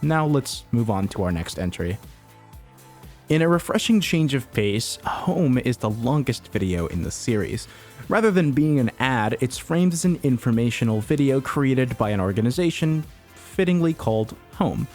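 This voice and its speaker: A man narrating a scripted explainer as a video essayist, telling the story in a measured way with wry, informal asides. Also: mid-range voice, sing-song, darkish tone. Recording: music bed behind the voice.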